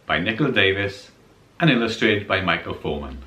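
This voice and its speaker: Deep, highly expressive male storybook reader. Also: fairly quick. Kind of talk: scripted children's story narration.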